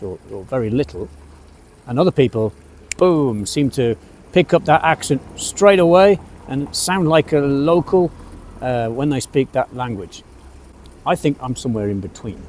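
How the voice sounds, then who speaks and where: Relaxed British man teaching English. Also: mid-range voice. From England, West Midlands. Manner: relaxed and chatty, with frequent uhs and asides. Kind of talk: casual spoken lesson to camera. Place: outdoor café terrace.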